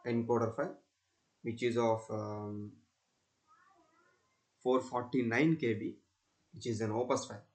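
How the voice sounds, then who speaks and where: A young man giving an unscripted screen-recording tutorial as a student presenter, speaking haltingly and repeating himself. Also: lowish voice, darkish tone.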